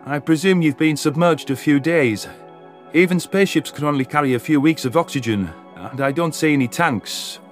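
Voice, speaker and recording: A man's voice: a little high, moderate pace, faint music behind, natural voice. A man voicing an anxious, grumbling alien soldier with a condescending streak in a sci-fi audio drama. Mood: nervous, wary. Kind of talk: audio drama character dialogue.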